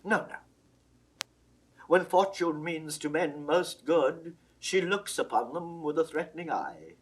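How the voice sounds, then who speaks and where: An actor playing a shrewd, worldly cardinal in a classical Shakespearean audio drama, speaking in a measured, oratorical way. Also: male voice, on the high side, animated. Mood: shrewd counsel. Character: shrewd, worldly churchman, a cardinal coolly counselling.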